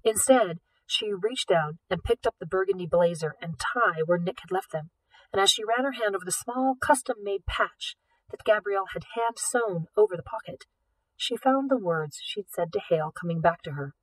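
Woman reading a novel out loud, mid pitch, expressive, little bit far from the mic. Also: normal speed, no room sound. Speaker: audiobook reader. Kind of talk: expressive scripted fiction narration.

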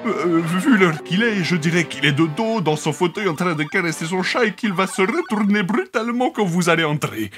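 A man's voice: sits high, animated, moderate pace, hardly any pauses, a bit bright. Man as hapless henchman, cowardly, whiny, radio comedy, music underneath. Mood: pained panic. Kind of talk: radio comedy sci-fi acting.

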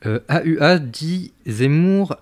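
A man's voice: fairly high pitch, animated, slow pace, a little mellow. A young man briefly reading listener questions aloud, recorded on a stand mic in a study. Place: study room indoors. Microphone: studio mic on stand.

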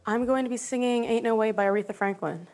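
A woman's voice: fairly high pitch, fast talker, a little mellow. A young woman from Maine chatting informally as a contestant in a talent show audition interview. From Maine, United States. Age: young adult. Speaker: singing contestant. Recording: music behind TV audition talk.

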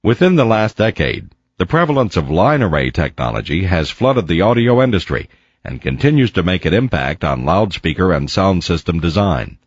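Male instructional narrator, low register, measured and didactic, very dry voice-over with slightly muffled sound. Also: very animated, slightly rough, no room sound. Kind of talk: scripted educational narration. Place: close, dry voice-over recording.

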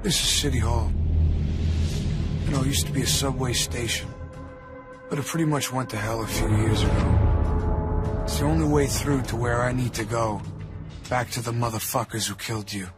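A man's voice: mid-range voice, normal speed, pauses often, close to an everyday voice. An actor playing a cool, vengeful young mob hitman in a video game, terse and brooding. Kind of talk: video game protagonist acting and monologue. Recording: loud music behind the voice.

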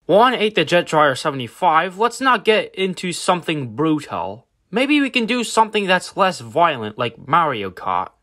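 An earnest toy race car voiced by a man in a homemade fan dub. The voice is on the high side and moves freely up and down in pitch. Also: big pitch swings, moderate pace, few pauses, bright, forceful tone. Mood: earnest, conversational. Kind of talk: amateur fan dub voice acting.

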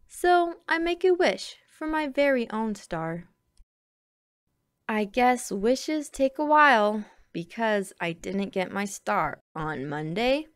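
A woman reading a children's picture book aloud with expressive, deliberate phrasing. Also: fairly high pitch, smooth, no room sound, studio quality. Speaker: storybook reader.

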